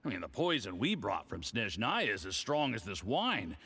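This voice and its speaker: A smug, scheming game villain, who loves to hear himself talk over a drink. His delivery is theatrical and hugely varied in pitch, swinging through boastful, patronizing flourishes. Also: wildly swooping pitch, normal speed, creaky, sharp tone, husky, exaggerated voice. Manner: smug, theatrical, savouring phrases. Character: boastful, scheming drunken male foreign agent, patronizing. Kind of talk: video game voice acting.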